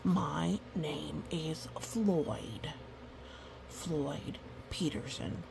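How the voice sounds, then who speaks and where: A woman voicing a grumpy, put-upon male monster from a picture book, slow, deliberate and mildly indignant. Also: sits low, lively intonation, vocal fry, noisy background, full character voice.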